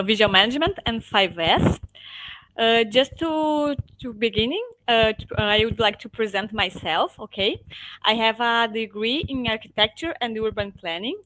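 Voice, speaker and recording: A woman's voice: fairly high pitch, a bit bright, rough recording. Brazilian woman presenting, full of fillers. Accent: Brazilian Portuguese. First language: Portuguese. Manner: hesitant, many fillers and restarts, tag "okay?". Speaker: guest speaker, lean project manager and professor. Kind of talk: webinar presentation.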